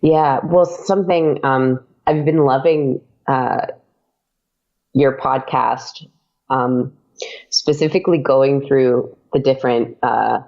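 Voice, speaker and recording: A woman's voice: rumbling low, steady tone, takes it slowly, vocal fry, dull audio. A woman chatting casually as an interview guest. She talks freely with lots of fillers and laughs often. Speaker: podcast guest.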